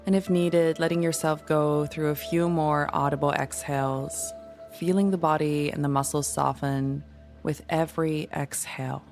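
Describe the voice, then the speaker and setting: A woman leading a guided meditation in a soothing, gentle, unhurried manner. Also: low-pitched, fairly even intonation. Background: music.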